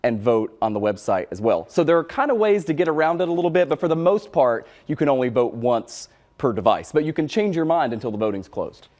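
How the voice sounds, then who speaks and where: A man's voice: medium pitch, animated, brisk, hardly any pauses, darkish tone. Conversational American male news reporter. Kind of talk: conversational live news presentation.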